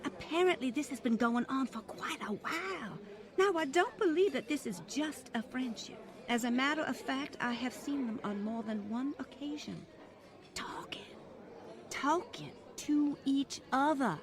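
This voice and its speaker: A high, fluttery voice for a genteel grandmother of means, acted by a woman. She is prim and condescending, wrapped in affected politeness and easily flustered. The delivery is old-time radio drama. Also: expressive, unhurried. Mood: flustered, disapproving. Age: older.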